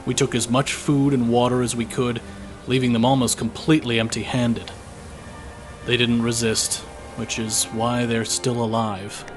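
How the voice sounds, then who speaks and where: A man's voice: mid-range voice, level tone, lightly acted. A man as a weary young conscript soldier, music behind. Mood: guilty, bitter. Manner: measured, reflective, dramatic diary reading. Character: weary, guilt-ridden young male imperial conscript soldier. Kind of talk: scripted fantasy audio drama journal narration.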